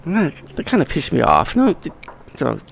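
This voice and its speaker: A middle-aged man with a deeply gravelly, fry-heavy voice talks in a casual, blunt way and swears freely. He often restarts his sentences, and a faint hiss sits under his words. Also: wildly swooping pitch, normal speed. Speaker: podcast guest, wedding videographer. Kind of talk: casual conversation.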